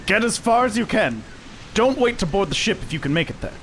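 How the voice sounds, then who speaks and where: A man's voice: high-pitched, very animated, brisk, fairly bright tone, a little hiss or hum, rough recording. An actor playing an agitated man urgently calling out orders to comrades in a fantasy audio drama. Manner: urgent, commanding.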